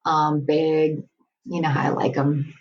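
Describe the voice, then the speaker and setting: A woman's voice: low-pitched, level tone, a little slow, muffled recording, slight background noise. A woman in her forties talking candidly in a casual interview, laughing often. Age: 40s. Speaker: guest. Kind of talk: casual conversation.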